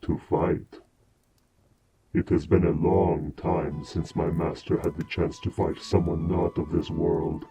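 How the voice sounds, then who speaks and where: A man acts a grave dark knight sworn to serve in a fantasy audio drama. His voice is very low and level, formal and deliberate, over clear music. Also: flat delivery. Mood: solemn, dutiful. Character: stern, dutiful dark knight henchman, calm and formal.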